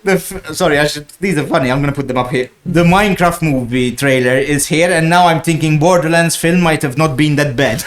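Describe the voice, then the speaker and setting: A man with a Maltese accent hosting a podcast casually, in halting, loosely phrased speech. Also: on the high side, a little hiss or hum. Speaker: podcast host. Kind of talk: casual group chat.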